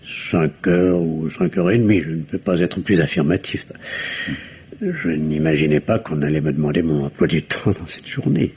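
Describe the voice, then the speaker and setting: An actor playing a composed doctor being questioned by police, answering in a measured, slightly defensive way. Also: male voice, very deep voice, darkish tone, a little hiss or hum. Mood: guarded. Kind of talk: 1950s radio drama acting. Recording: dull lo-fi archive radio recording.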